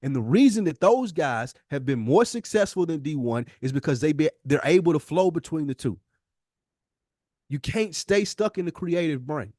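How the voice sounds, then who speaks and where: A man's voice: fairly high pitch, expressive, moderate pace, a little mellow, no echo, clean studio sound. An American man co-hosting a podcast, arguing casually but emphatically in slangy speech, often in a lecturing way. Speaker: podcast co-host. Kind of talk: heated casual debate.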